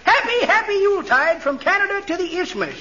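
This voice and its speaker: A man's voice: very high voice, big pitch swings, a little fast, pushed and bright, full character voice. An actor playing a cheerful, pushy old card salesman in a radio comedy, with a cackling old-man voice and a folksy way of reciting. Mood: eager, folksy. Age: older. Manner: folksy, reciting corny rhymes. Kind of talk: 1940s radio comedy acting. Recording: noisy, muffled old radio broadcast.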